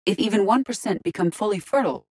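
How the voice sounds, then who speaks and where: A woman playing a calm, courteous artificial intelligence in a video game, speaking with precise, formal, measured diction and a deadpan manner. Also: medium pitch, slightly rough, natural voice. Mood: composed, matter-of-fact. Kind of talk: scripted video game dialogue.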